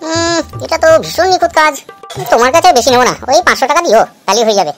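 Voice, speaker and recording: Woman voicing a high, quick, boastful cartoon potter. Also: expressive, soft background music. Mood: brisk, boastful. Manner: hurried, self-assured. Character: brisk, boastful male village potter. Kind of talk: cartoon voice acting.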